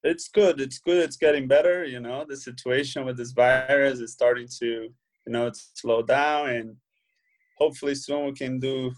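A young Brazilian man with a Brazilian Portuguese accent, a footballer guesting on an interview, relaxed and full of "you know" fillers. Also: medium pitch, moderate pace. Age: young adult. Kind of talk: casual interview chat.

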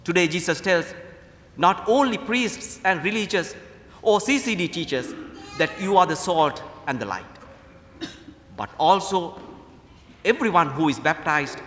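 Sri lankan guy preaching like a priest, voice kinda high and swinging up and down, big echoey church sound. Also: expressive, unhurried, long pauses, a bit bright. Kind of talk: sermon. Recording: echoey hall with long reverb.

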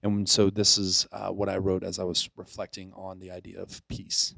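A man's voice: low voice, completely flat delivery, moderate pace, gravelly, sharp tone, no echo, clean studio sound. A man in his thirties from Florida, a youth ministry director, speaking earnestly and plainly as he introduces himself and reads his reflection. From Pensacola, Florida. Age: 30s. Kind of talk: casual chat, then reading a reflection.

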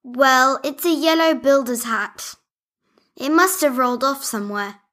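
Woman putting on a clumsy male toy builder, flustered, slow, storybook toy. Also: high voice, sharp tone.

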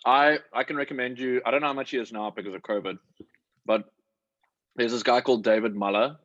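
A man's voice: medium pitch, fairly even intonation, brisk, fairly bright tone, no echo, lo-fi sound. A man chatting casually as a working actor giving advice, talking in an emphatic, slangy way with plenty of swearing. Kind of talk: casual chat.

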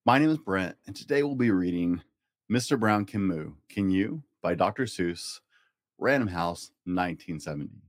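Male storybook reader for young children: lowish voice, highly animated melody, playful read-aloud delivery. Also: normal speed, dry recording, clean studio sound. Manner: playful, acts out animal and object sound effects. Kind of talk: expressive read-aloud of a rhyming picture book.

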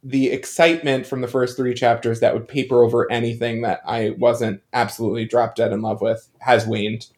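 A man's voice: mid-range voice, level tone, a little fast, few pauses, darkish tone. A man giving casual pop-culture commentary on a podcast in an emphatic, campy, wry way. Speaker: podcast panelist.

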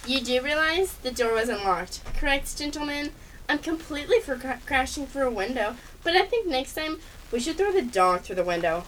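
A woman's voice: sits high, lively intonation, moderate pace, fairly bright tone. A woman voicing a sassy, mean pet cat with a sarcastic, haughty manner in an amateur radio comedy. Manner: sarcastic, haughty, reading from a script. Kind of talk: amateur old-time radio comedy drama. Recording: distant mic with faint background noise.

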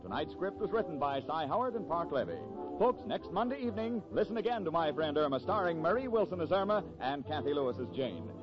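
High-pitched, animated 1940s radio announcer man. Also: fast talker, over music. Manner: polished sales pitch. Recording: muffled vintage 1940s radio broadcast.

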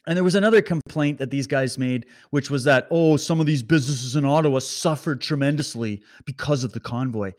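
A man hosting a political commentary stream, talking straight to his viewers with animated sarcasm. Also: medium pitch, brisk, few pauses. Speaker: commentary host.

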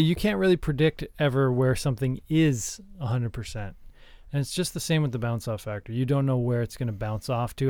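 A man co-hosting a podcast, talking about screenwriting in an earnest, halting, deadpan comedic way. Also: medium pitch, clean tone, dry recording, clean studio sound. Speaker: podcast co-host.

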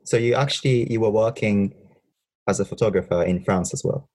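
A man's voice: fairly low pitch, fairly quick, a little mellow, breathy edge. A man hosting a podcast interview, asking questions hesitantly with many fillers and stalls. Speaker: podcast host. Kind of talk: casual interview.

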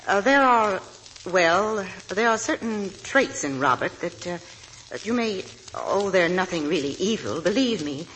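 An actress playing a genteel, blunt, anxiously devoted middle-aged mother, gracious and deliberate, in a 1940s radio drama. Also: medium pitch, lively intonation, a bit bright. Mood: uneasy, pleading. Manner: gracious, deliberate, hesitant when uneasy. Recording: noisy vintage 1940s radio broadcast.

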